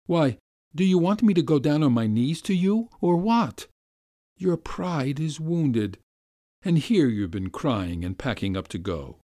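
A man reading aloud voices a weak, irresolute middle-aged gentleman who speaks in a soft, subdued, ingratiating way and keeps pleading. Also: sing-song, measured pace, no echo, studio quality. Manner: pleading, rising to high plaintive appeals.

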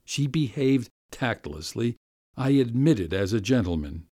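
A man reading aloud voices a weak, irresolute middle-aged gentleman who speaks in a soft, subdued, ingratiating way and keeps pleading. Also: animated, a little slow, dry recording, studio quality. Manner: pleading, rising to high plaintive appeals.

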